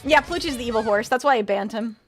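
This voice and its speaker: A young woman streaming a game, chatting with her viewers and cheering loudly through the races, though her voice is growing worn. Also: fairly high pitch, fast, faint music behind. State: losing her voice. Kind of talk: live game stream commentary with chat.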